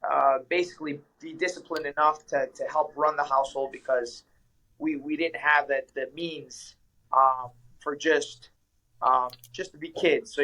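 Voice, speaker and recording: Young man, bright voice, earnest, reflective, lots of ums and uhs, interview guest. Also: mid-range voice, poor audio. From Ecuador. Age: young adult. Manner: earnest, reflective, many fillers. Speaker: guest, professional mixed martial arts fighter. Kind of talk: casual interview answers.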